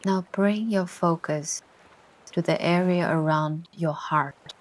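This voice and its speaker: A woman narrating a guided meditation in a soothing, gentle way, with long pauses between short instructions. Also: on the low side, fairly even intonation, poor audio. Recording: ocean surf sounds behind the voice. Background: ocean waves ambience.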